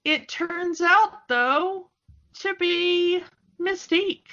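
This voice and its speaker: A woman's voice: extremely high pitch, very slow, bright, forceful tone, dull audio. A woman co-hosting a podcast, chatting casually through a recap with a fan's wry asides. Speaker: podcast co-host. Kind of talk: casual chat recapping a TV cartoon.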